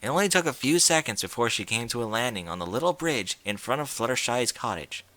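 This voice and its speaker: Casting: male story narrator with a bright, lively delivery, recorded close and dry. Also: medium pitch, brisk, no room sound. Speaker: audiobook narrator. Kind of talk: scripted fiction narration.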